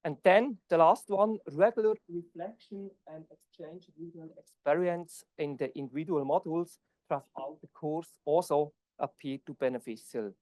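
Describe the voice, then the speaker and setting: A man's voice: a little high, darkish tone, dry recording, low-quality recording. Swiss-accented man giving an academic talk. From Switzerland. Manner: non-native English, halting with fillers. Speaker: conference presenter. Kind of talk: academic presentation and Q&A. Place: conference hall.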